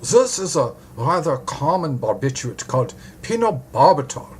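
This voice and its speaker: A man voicing a measured, cautious doctor of about sixty with a German accent, explaining precisely in an audiobook story. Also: on the high side, very animated, slight background noise, pitched above the performer's own voice. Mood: measured, formal.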